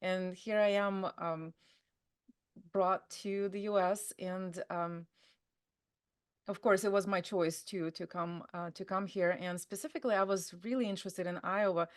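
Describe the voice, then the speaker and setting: A woman's voice: medium pitch, steady tone, unhurried. A woman with a Russian accent, a native Russian speaker, talking casually as an interview guest, full of fillers and restarts. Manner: chatty, many fillers and restarts. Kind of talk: conversational interview.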